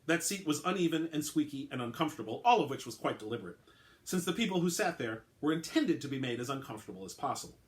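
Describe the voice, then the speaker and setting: Relaxed man reading his own story. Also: a little high, quick pace. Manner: relaxed, with fillers. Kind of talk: dramatic reading.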